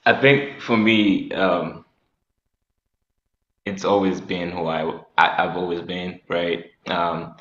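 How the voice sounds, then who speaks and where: A young man from Rwanda, a musician telling his story in an interview in a reflective, halting way with many ums. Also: low voice, steady tone, a bit bright. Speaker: musician and guest. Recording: echoey, dull lo-fi sound.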